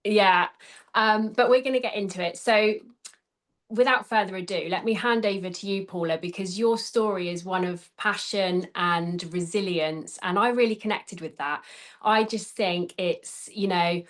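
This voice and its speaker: A woman's voice: mid-range voice, moderate pace. A woman hosting a podcast interview, chatting reflectively and affirmingly, often ending on a tag question. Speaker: podcast host.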